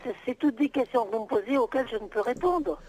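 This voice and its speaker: A woman in middle age speaks in a somewhat high voice over a telephone with faint noise behind her. She sounds exasperated and insistent, going back over the same point as she answers a caller off the cuff. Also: fast, darkish tone. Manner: exasperated, insistent, repeating herself. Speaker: private seller answering a phone call about an ad. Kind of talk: unscripted phone conversation. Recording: noisy telephone call.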